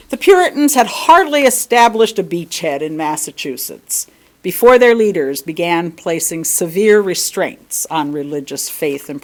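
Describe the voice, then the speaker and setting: A woman reading a sermon aloud, deliberately, with emphatic stress on key words. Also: medium pitch. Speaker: lay reader delivering a sermon at a church. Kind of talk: read-aloud sermon with brief asides.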